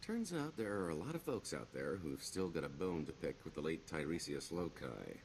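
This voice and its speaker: A man's voice: very deep voice, very animated, normal speed, a little mellow, slight background noise, exaggerated voice. A man playing a roguish middle-aged career criminal in a video game, wry and boastful, with a wheedling, theatrical sarcasm. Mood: scheming.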